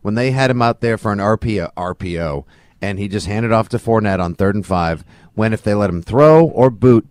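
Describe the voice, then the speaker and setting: A man co-hosting a sports podcast in a slightly low voice. His talk is casual and opinionated, with emphatic, colorful turns of phrase. The recording is very dry, with little room sound. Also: lively intonation, brisk, darkish tone, no echo.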